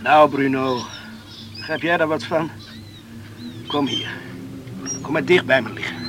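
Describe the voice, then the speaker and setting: High-voiced, restless young man, played by an actor. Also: unhurried, music in the background, natural voice. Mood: brooding, tender. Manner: brooding, agitated, talking to himself. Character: restless, torn young islander, brooding and self-reproachful. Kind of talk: radio play monologue.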